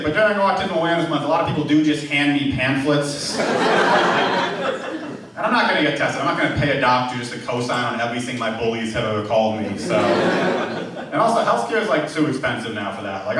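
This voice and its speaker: A man in his twenties doing a stand-up comedy set, self-deprecating and awkward, with a speech impediment and lots of "like.". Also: on the high side. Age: 20s. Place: comedy club stage. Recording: echoey room, far from the mic, loud crowd noise. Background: audience laughter.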